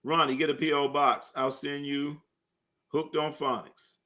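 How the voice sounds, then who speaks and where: A man's voice: a little high, level tone, unhurried, sharp tone. A man hosting a live sports stream, chatting loosely with viewers and a co-host, joking and using slang with lots of fillers. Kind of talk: casual live stream chat reading comments.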